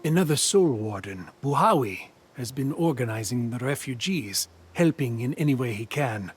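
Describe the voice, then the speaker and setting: An actor playing an earnest commander giving a grave briefing in a game. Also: male voice, mid-range voice, expressive, moderate pace, husky. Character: male commander, urgent and grave. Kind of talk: video game cutscene acting.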